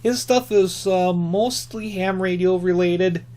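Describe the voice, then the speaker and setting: A man chatting casually and unscripted as a hobby YouTuber, laid-back and rambling, with halting, filler-heavy phrasing. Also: sits high, a little mellow. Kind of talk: casual unscripted chat, reviewing channels.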